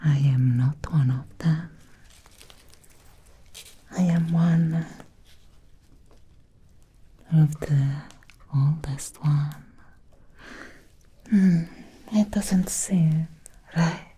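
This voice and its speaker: A woman playing an ancient, possessive female vampire in an ASMR roleplay, speaking in a breathy, seductive whisper with lingering, deliberate pauses. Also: deep voice, mellow, heavily acted. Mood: possessive, haughty, then intimate, obsessed.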